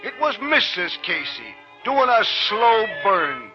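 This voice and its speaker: An actor playing a folksy, wily small-town baseball manager in an old radio drama, husky and colloquial, with American speech. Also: male voice, sits high, sing-song, slow, over music, heavily acted. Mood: wry storytelling. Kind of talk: old-time American radio acting, first-person narration. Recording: dull vintage radio broadcast.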